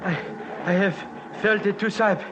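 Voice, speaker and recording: An actor playing a grave, respectful, fatalistic Himalayan guide in a 1950s radio drama, speaking in halting, formal phrases on an old muffled recording. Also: male voice, high-pitched, fairly even intonation, noisy background, lightly acted. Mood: grave, uneasy. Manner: halting, solemn, formal foreign-sounding phrasing.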